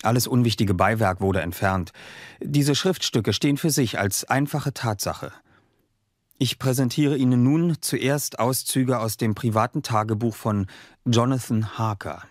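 An actor playing a solemn witness, giving a deliberate, formal prologue monologue in an audio drama. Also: male voice, mid-range voice, dry recording, studio quality, natural voice. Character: solemn male witness presenting documents of uncanny events.

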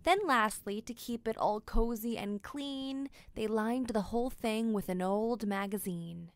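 A woman's voice: a little high, unhurried, dry recording. A woman reading a children's picture book aloud as scripted narration. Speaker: storybook reader.

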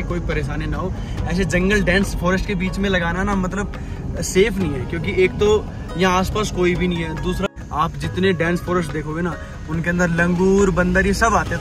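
A young man, chatty and excited, narrating a travel vlog while driving mountain roads. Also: high voice. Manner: excited, chatty, calls viewers brother. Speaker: travel vlogger. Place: inside a car on mountain roads. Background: background music.

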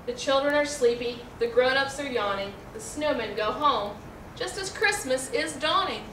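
A middle-aged American woman reads a rhyming children's picture book aloud in an expressive, carefully enunciated way. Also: high-pitched, normal speed. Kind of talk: children's storybook read-aloud. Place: indoor room, seated before a backdrop. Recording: roomy, distant mic, faint noise.

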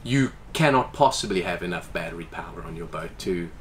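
A man's voice: deep voice, brisk. Guy in his 40s chatting about his boat, talks with his hands, boat cabin, little bit of noise behind. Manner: animated, gestures with hands while explaining. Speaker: boat owner. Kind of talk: casual interview. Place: inside a boat salon.

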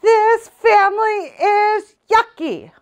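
A woman voicing a small, tantrum-prone girl bunny in a children's storybook, with a loud, shrieking, high voice. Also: slow pace. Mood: upset.

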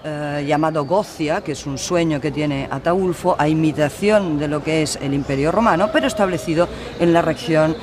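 A man explaining as an expert in an unscripted interview, in a halting, phrase-by-phrase way. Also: on the high side, a crowd in the background.

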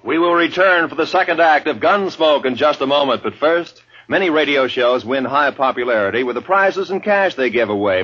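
Male 1950s radio announcer, American accent, fairly high pitch, husky, muffled recording. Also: fairly bright tone.

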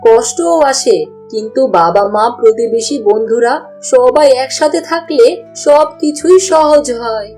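Woman playing a high-voiced, earnest young girl. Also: measured pace, mellow, soft background music, close to an everyday voice. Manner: earnest, questioning. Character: earnest, precocious young village daughter. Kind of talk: cartoon voice acting.

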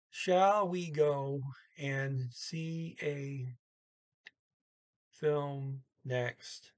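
A man in his sixties giving an informal language lesson, halting and deliberate, going word by word with pauses. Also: mid-range voice, husky. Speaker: language teacher. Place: small room indoors at home. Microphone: webcam or computer mic at arm's length.

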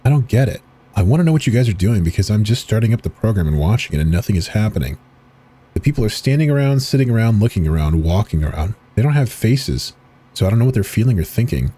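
Husky low-voiced man, dramatic reading. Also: animated, quick pace, dark tone. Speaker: channel host reading horror texts.

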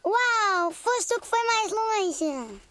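An actor voicing a bold, excitable little boy in a children's cartoon, with a European Portuguese accent. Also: extremely high pitch, extremely slow, a little hiss or hum, heavily acted. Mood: excited. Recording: music behind the voice.

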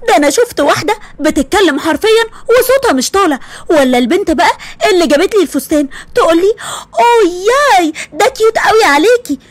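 A woman's voice: very high-pitched, lively intonation, quick pace, no room sound. A woman voicing an irritable, sarcastic tomboyish young woman with an Egyptian accent. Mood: exasperated. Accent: Egyptian Arabic. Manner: exasperated, sarcastic.

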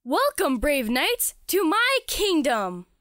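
A woman's voice: sits high, huge pitch swings, takes it slowly, a bit bright, dry recording, studio quality, exaggerated voice. A woman voicing a bubbly, dramatic young princess who gushes perkily in a children's comic read-aloud. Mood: pleading. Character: bubbly, dramatic girl princess sending knights on quests.

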